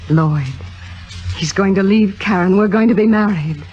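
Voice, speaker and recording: A woman's voice: medium pitch, normal speed, darkish tone, lightly acted. A woman playing an ambitious, self-assured young stage actress in a 1950s radio drama, with a poised theatrical delivery. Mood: elated. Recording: dull vintage radio recording with music.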